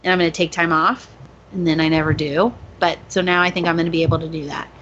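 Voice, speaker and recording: A woman with a fairly low voice talks casually as a podcast co-host. The recording is slightly dull and lo-fi, with some faint ambient noise behind her. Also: flat delivery, fast talker. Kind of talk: casual chat. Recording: dull lo-fi audio with background noise.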